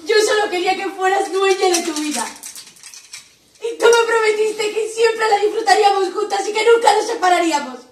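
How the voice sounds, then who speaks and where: A woman's voice: extremely high pitch, a little fast, a little hiss or hum. A young woman acting on stage as a resentful, wounded adult daughter, intense and accusatory. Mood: anguished, reproachful. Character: wounded, resentful adult daughter pouring out bitterness to her mother. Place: small dim theatre set indoors. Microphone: far camera. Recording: roomy echo, distant camera microphone.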